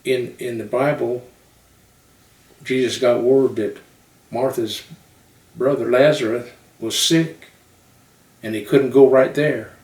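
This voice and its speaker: A man's voice: mid-range voice, steady tone, slow pace, pauses often, darkish tone, voice pushed up. A man voicing a fervent preacher who declaims a Bible story in a folksy way. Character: fervent male preacher retelling a Bible miracle. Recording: roomy echo, distant microphone.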